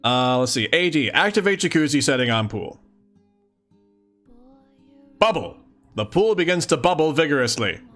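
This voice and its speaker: A playful, chatty man reading a webcomic aloud and commenting casually on a livestream, often giggly and thinking out loud. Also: on the high side, sing-song, normal speed, long pauses, faint music behind. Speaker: streamer.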